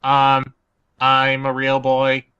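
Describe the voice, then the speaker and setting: Man as timid boy, halting and unhurried, bright tone, slightly lo-fi, amateur comedy read. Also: medium pitch, monotone, clear, resonant tone. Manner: hesitant, stammering. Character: timid, stammering young boy helping his father.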